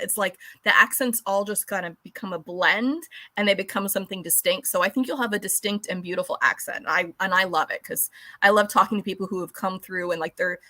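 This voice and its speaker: A woman's voice: mid-range voice, pushed and bright, no echo. A woman from California hosting a casual interview, chatty and laughing, often saying "like". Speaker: podcast host.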